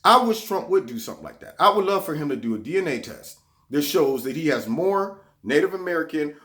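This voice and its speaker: A man's voice: on the high side, sing-song, a little fast, fairly bright tone. A man hosting his own political commentary vlog, talking sarcastically and repeating words when he gets heated. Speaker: vlog host.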